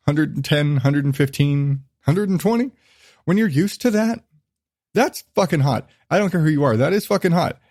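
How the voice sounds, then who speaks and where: Rambling, foul-mouthed podcast dad. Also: male voice, a little high, a little mellow, no room sound, studio quality. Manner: rambling, swears freely, self-interrupting. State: recovering from illness, lingering cough. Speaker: podcast host. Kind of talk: casual solo podcast chat.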